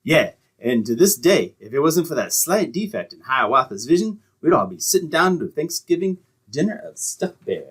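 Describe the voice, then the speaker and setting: A man's voice: on the high side, sing-song, moderate pace, hardly any pauses, fairly bright tone, slightly rough, full character voice. A man playing a breezy, wisecracking boyfriend in an old-time radio comedy, talking in casual, folksy slang. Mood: wry, easygoing.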